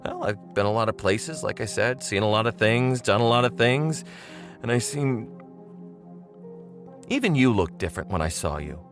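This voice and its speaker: An old pal in a radio-style drama, speaking straight to the listener in a lowish, very lively voice. He is chummy and rambling, with a needling familiarity that carries veiled menace. Music plays faintly behind him. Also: normal speed, long pauses. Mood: reminiscing, needling. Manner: conversational, rambling, chuckling, pointedly familiar. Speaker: actor in an audio drama. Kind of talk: audio drama monologue spoken directly to the listener as an old friend.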